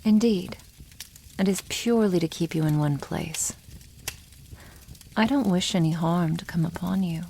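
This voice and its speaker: A woman voicing a haughty, possessive female dragon in an ASMR roleplay, teasing and condescending, often dropping toward a breathy whisper. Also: on the low side, measured pace, pauses often, warm tone, a little hiss or hum, poor audio. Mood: calm, teasing.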